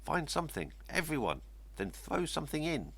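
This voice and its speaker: Man putting on an excitable high-pitched witch. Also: moderate pace, breathy edge, heavily acted. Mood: grateful. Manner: exclaiming in high-pitched cries. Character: kind female witch, excitable, storybook.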